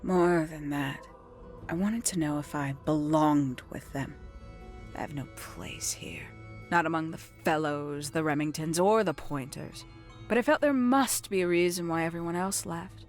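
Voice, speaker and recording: Over background music comes a low-pitched woman's voice, secretive and brooding. She unspools her tale deliberately, with theatrical pauses, like a mystery game character guarding a family secret. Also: expressive. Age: adult, older than nineteen. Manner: measured, deliberate, theatrical storytelling with dramatic pauses. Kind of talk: video game voice acting, dramatic monologue. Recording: background music under the dialogue.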